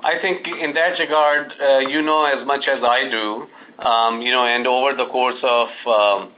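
A man with a mid-range voice speaks as a corporate executive on an earnings call. He explains in a measured but halting way, with frequent pauses. The audio is dull and lo-fi, like a conference line. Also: medium pitch, fairly even intonation, a little hiss or hum. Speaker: company executive. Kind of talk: earnings call answers to analysts.

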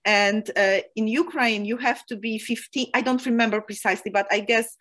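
A young Polish woman with a Polish accent, speaking English as a second language and answering interview questions about aid work with frequent pauses and fillers. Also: a little high, fairly even intonation, a bit bright. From Poland. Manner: hesitant, many fillers and restarts. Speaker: aid organization volunteer. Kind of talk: remote interview.

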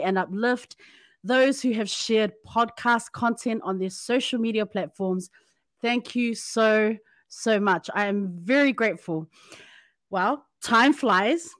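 A woman hosts a podcast with an upbeat, chatty manner, laughing easily and tossing in casual slang. Her voice sits a little high, and the sound is close and dry with no room around it. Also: no room sound. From Samoan community in New Zealand. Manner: upbeat, laughs often, shout-outs and slang. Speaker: podcast host. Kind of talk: warm interview chat.

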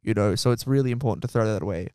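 A man hosting a podcast, telling animated stories in casual chat and swearing freely. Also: fairly low pitch, very fast, smooth, dry recording, clean studio sound. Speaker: podcast host.

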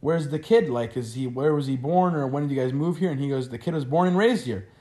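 A man's voice: medium pitch, a little fast, mellow. A young man, a college freshman whose first language is Arabic, answering interview questions in a chatty way with lots of "like" fillers. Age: young adult.

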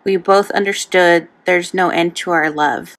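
A woman giving a prepared, earnest review in a voice note as a podcast listener, in a measured way. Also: fairly low pitch, fairly even intonation, fairly bright tone, a little hiss or hum. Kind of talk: prepared review, partly reading out lyrics.

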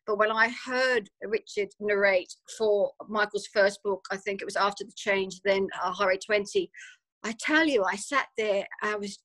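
A woman's voice: mid-range voice, normal speed, fairly bright tone, low-quality recording. Australian lady talking about her book, chatty, lots of ums and repeats. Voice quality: chatty, repeats words often, many fillers. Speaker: author guest. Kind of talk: casual interview answers.

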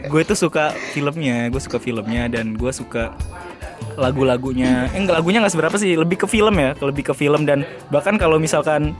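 A man's voice: a little high, a little fast. A young man chatting casually as co-host, joking in slangy speech and often restarting his phrases. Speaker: podcast co-host. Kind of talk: casual chat. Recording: background music.

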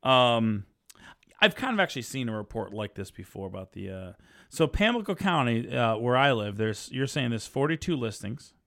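A man's voice: mid-range voice, sing-song, normal speed, a bit bright. A man in his thirties hosting a casual podcast, rambling and joking with plenty of fillers and restarts. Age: 30s. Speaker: podcast host. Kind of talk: casual chat.

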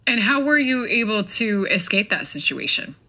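Bright-voiced woman interviewer. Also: medium pitch, expressive, moderate pace. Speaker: guest host interviewer. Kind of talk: broadcast interview.

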